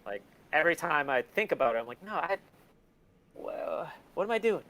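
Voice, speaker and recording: A man chatting casually as an interview guest, self-deprecating and quick to swear. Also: fairly high pitch, sing-song, bright, forceful tone. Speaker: podcast guest, record label and museum owner. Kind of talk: casual chat.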